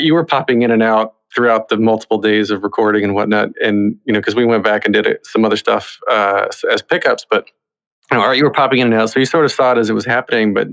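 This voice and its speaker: Guy hosting a podcast, chatty casual interview style, medium pitched voice. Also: fairly bright tone. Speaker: podcast host.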